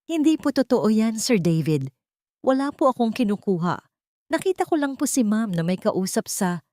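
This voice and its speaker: A woman's voice: a little high, sing-song, normal speed, mellow, no echo, studio quality, voice pushed up. A woman voicing a humble, wronged housemaid in an audio drama, deferential and pleading. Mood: desperate denial.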